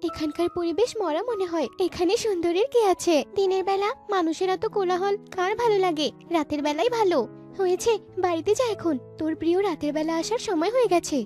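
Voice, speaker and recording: Very high-pitched young cartoon girl voiced by a woman. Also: a little mellow. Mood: bickering. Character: young village girl, chatty and argumentative. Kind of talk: cartoon dialogue.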